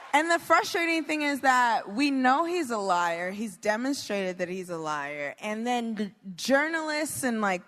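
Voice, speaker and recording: An American woman ranting informally on a live political panel, blunt, exasperated and quick to swear. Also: mid-range voice, fairly bright tone. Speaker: comedian panelist. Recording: echoey hall, live stage show.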